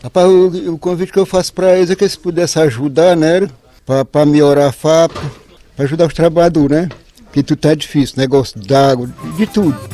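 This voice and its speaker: Mellow rural Cearense man, plain-spoken. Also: on the high side, fairly even intonation, fairly quick, soft background music. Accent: rural Northeastern Brazilian, Ceará. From rural Ceará, Brazil. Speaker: interviewee, flour-house worker. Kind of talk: informal spoken explanation.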